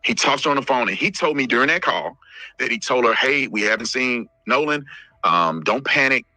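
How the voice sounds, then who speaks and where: Guy laying out details methodically, super bright forceful voice, dry close sound. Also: medium pitch, expressive, fairly quick, no echo, soft background music, poor audio. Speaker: interviewer recounting what he was told.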